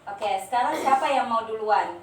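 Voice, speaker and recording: A young woman guides a group therapy role-play, explaining rules step by step. She often pauses and hesitates between phrases. The sound is distant and reverberant, with faint noise behind. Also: fairly high pitch, expressive. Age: young adult. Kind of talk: guiding a role-play session.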